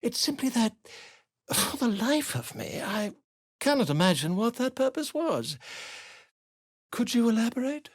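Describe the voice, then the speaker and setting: A pompous male bard for a fantasy game, all theatrical flourish and wordy grandeur. His husky voice leaps up and down expressively, and the recording is dry and close. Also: very high-pitched, sing-song, measured pace, pauses often, no room sound, heavily acted. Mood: inquisitive, fussy. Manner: florid, grandiose, wordy delivery. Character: pompous, flamboyant male bard and self-important author. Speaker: video game character actor.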